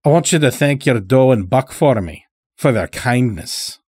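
A man voicing a stout, sly old farmer in an audiobook story, with a folksy drawl and unhurried, dragged-out words. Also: mid-range voice, expressive, a little mellow, husky, no echo, studio quality, voice pushed up. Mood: folksy, placating.

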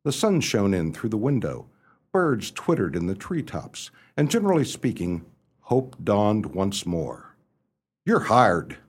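An actor playing an amiable, muddled, well-off young English gentleman in a radio play, narrating in a chatty, theatrical upper-class way. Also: lowish voice, wildly swooping pitch, measured pace, husky, heavily acted. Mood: relieved. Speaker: narrator and lead character.

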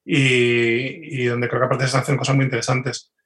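A man with an accent from Spain speaks as a guest in a conversational interview. His voice is medium in pitch and markedly bright and crisp-edged. He explains in a halting way, doubling back on his words. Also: level tone. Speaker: guest, podcast fiction writer.

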